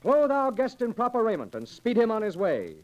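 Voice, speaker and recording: A bold, generous outlaw chief in a 1940s radio play. His voice sits high and leaps widely in pitch. He speaks in a hearty, theatrical way with old-fashioned phrasing. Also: wildly swooping pitch, fairly quick, a little mellow, dull audio. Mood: resolute. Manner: theatrical, hearty, archaic speech.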